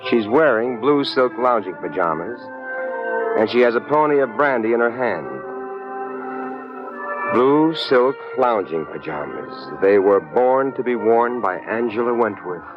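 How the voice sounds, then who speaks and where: A man's voice: medium pitch, expressive, measured pace, lightly acted. A man acting a brash, wisecracking American private eye in an old radio detective drama, tough and slangy as he tells his case. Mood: hard-boiled narrating. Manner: tough, slangy, hard-boiled. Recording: muffled old radio recording with music.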